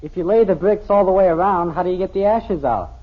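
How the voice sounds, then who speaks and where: Argumentative American teenage son, high-pitched and sarcastic, for a 1950s radio sitcom, dull vintage recording. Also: male voice, quick pace. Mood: skeptical. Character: cheeky, grumbling teenage son and brother.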